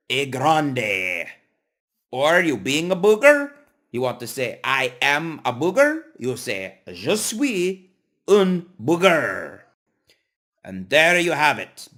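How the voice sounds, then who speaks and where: A man playing a goofy, self-styled French language teacher in a comic lesson, speaking with deliberate, drawn-out teacherly care. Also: fairly high pitch, a bit bright, exaggerated voice. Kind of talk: comic instructional monologue.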